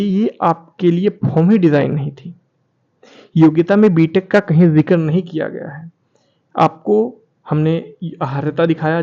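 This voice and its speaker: A man with a fairly high-pitched voice presents an informal explainer for his online viewers. He reads formal text aloud, then comments on it directly, repeating key phrases to drive them home. The sound is somewhat dull and lo-fi. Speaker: YouTube channel host presenting documents.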